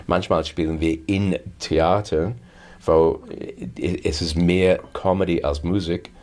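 A man with a native English speaker's accent answering interview questions, halting as he searches for words. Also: sits low, fairly even intonation, darkish tone. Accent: English-speaking foreign. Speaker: musical comedian in a duo.

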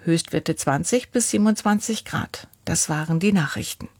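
A woman newsreader reading radio news and the weather in an even, measured studio delivery. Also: on the low side. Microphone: studio mic.

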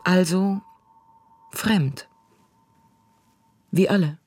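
A woman giving a slow, measured poetic reading. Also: lowish voice, a little mellow, soft background music. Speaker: reader.

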